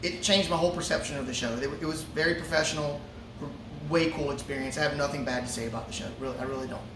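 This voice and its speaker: A relaxed male musician chats through fan questions, joking and stumbling over fillers. He stands a little back from the mic, and his voice rings around a roomy brewery with a steady hum of noise behind him. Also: fairly high pitch, quick pace. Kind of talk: casual Q&A chat. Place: brewery before a show. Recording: roomy echo, from a distance, ambient noise.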